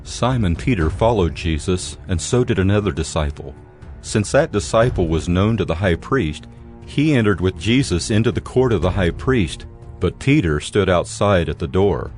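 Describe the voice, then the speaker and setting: A man's voice: deep voice, expressive, normal speed, darkish tone, soft background music. A man reading scripted scripture narration.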